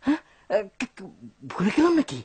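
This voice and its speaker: An actor playing a greedy, excitable man in a cartoon, stammering and repeating his words. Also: extremely high pitch, a little fast, slightly rough, no echo, full character voice. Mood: panicked, desperate. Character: greedy, excitable man trapped in a treasure cave. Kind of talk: exaggerated cartoon dub acting.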